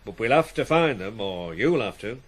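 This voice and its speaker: An actor in a 1980s radio drama playing a stern but fair farm boss, plain-spoken, measured and questioning. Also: male voice, on the low side, lively intonation. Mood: matter-of-fact, concerned. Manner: measured, questioning, matter-of-fact.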